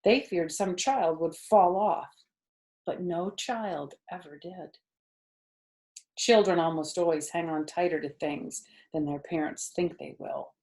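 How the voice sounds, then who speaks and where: A woman's voice: fairly low pitch, takes it slowly, darkish tone. Woman reading a children's story expressively. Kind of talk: expressive children's book narration.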